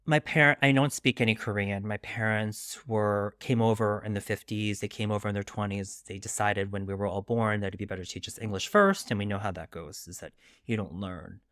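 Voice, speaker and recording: A man's voice: lowish voice, fairly even intonation, brisk, fairly bright tone, no room sound, clean studio sound. A middle-aged man from Long Island, New York, speaking candidly and reflectively as a guest in an interview, often adding asides. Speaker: guest author.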